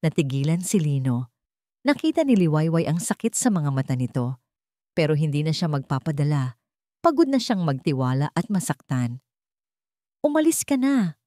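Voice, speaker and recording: A woman's voice: low voice, sing-song, normal speed, dark tone, no room sound, studio quality. A woman narrating a scripted story in a dramatic storytelling style.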